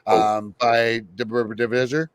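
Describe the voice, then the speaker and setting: A man's voice: mid-range voice, flat delivery, slow pace, clean tone. A man hosting a casual podcast, chatting and reading out listener questions. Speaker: podcast host.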